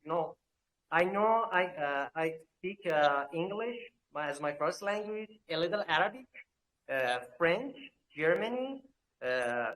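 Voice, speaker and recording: A man's voice: fairly high pitch, lively intonation, unhurried, a bit bright, low-quality recording. Hesitant man full of filler sounds. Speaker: crypto project representative. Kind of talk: live online AMA answers.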